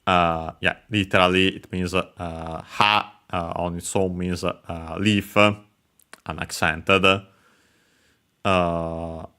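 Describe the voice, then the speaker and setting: A man explaining Japanese grammar, pausing often with filler sounds as he works through each word. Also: low voice, level tone, pushed and bright, clear, resonant tone. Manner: hesitant, many filler sounds, careful explanation. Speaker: Japanese language teacher. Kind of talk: explaining grammar over gameplay.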